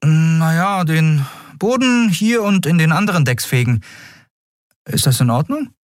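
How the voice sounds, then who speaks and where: Man voicing a brisk, higher-pitched woman captain. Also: lively intonation, dry recording, clean studio sound. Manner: brisk, informal, caring. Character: brisk, kindly female airship captain.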